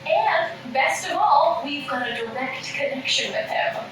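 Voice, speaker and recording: A woman's voice: sits high, expressive, a little slow, over music. A woman at a comedy table read playing an earnest, prim, self-deprecating podcast host who scolds in exasperation. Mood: hopeful. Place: indoor room at a table read. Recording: roomy echo, distant mic, background noise.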